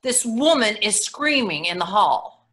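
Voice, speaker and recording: A woman acting a bright-voiced, sardonic frazzled mother. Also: mid-range voice, measured pace. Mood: urgent strain. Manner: sardonic, expressive. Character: frazzled, sardonic new mother, sometimes mimicking her own mother. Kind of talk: dramatic monologue reading for readers theatre. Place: remote reading over video call. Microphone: video call.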